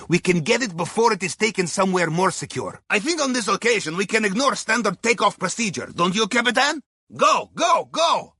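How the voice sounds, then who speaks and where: An actor playing a jovial, wily Russian heist planner in a video game, husky-voiced, with a Russian accent and theatrical, clipped briefing lines. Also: male voice, high-pitched, pushed and bright, dry recording, studio quality, full character voice. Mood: excited. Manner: theatrical, clipped phrasing with dropped articles. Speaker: mission guide in a video game. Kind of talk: video game character dialogue, mission briefing.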